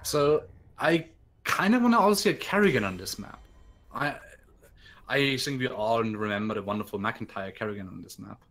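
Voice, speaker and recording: A man co-casting a live video game match, giving calm analysis of picks and strategy. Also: mid-range voice, moderate pace. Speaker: esports co-caster.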